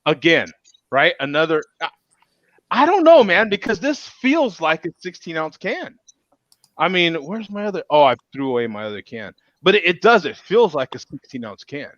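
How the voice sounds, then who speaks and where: Male host, highish lively voice, folksy casual chatter, repeats himself, dry but slightly dull sound. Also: moderate pace, no echo. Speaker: show host. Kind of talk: casual chat.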